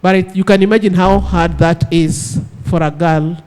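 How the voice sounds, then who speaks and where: A woman's voice: fairly low pitch, fairly even intonation, normal speed, darkish tone. A woman, introducing herself and sharing her organization's work at a conference. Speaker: audience participant from an organization.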